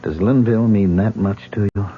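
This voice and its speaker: An actor in an old-time radio drama playing a bitter jilted stage actor hounded by a ghost, sardonic and theatrical. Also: male voice, on the low side, steady tone, a little fast, natural voice. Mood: hurt, angry. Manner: sardonic, theatrical, emotionally volatile. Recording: noisy, muffled old radio broadcast.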